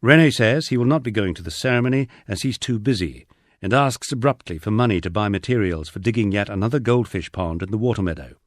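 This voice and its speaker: Man narrating a diary, lively low voice. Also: fast, no room sound, clean studio sound. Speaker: audiobook narrator. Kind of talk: first-person diary narration.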